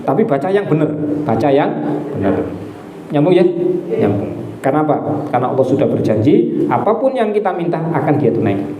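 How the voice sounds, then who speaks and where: A man gives a relaxed sermon, telling stories and putting questions to his listeners. His voice rings through an echoey hall, and the murmur of a crowd can be heard behind him. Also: a little high, brisk, pauses often. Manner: storytelling, asks the audience questions, repeats key phrases. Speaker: Islamic preacher. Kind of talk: conversational religious study talk. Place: hall with a live audience. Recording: echoey room with an audience.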